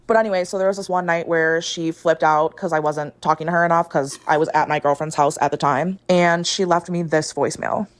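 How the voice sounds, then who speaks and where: A woman in her late twenties telling a personal story in a casual, measured, explanatory way. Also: low voice, fairly even intonation. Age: late 20s. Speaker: video storyteller.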